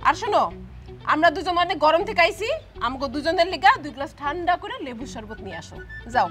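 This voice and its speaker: A woman's voice: high-pitched, animated, fast talker, fairly bright tone, faint music behind. A woman acting a demanding, quarrelsome married daughter in a family drama, with a Bangladeshi accent. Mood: bossy. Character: bossy, indignant married daughter visiting her family home.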